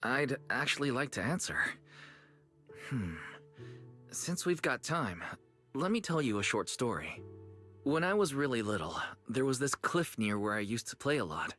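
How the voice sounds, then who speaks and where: A man's voice: mid-range voice, big pitch swings, normal speed, fairly bright tone. An actor playing a kind, easygoing young man in an anime-style video game, talking in a friendly, reassuring way. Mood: reflective, reassuring. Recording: background music under voice.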